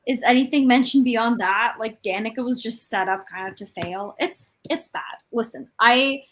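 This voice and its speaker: Higher-voiced woman in casual chat. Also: moderate pace, a little hiss or hum. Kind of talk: casual chat about books.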